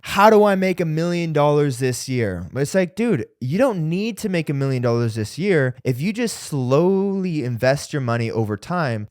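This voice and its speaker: A man in his late twenties teaching in a casual online training, energetic and chatty, often tagging his points with "right?" and now and then bursting out in excitement. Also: a little high, no echo, studio quality. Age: 20s. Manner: energetic, fillers like "right?" and "dude"; bursts of excitement over numbers. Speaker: fitness business coach.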